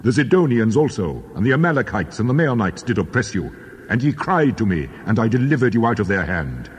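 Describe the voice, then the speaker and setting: A man plays a stern God in a Bible drama. The voice is husky and solemnly reproachful, ringing in long reverb. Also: fairly low pitch, big pitch swings, fairly quick. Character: God, stern male deity rebuking his people.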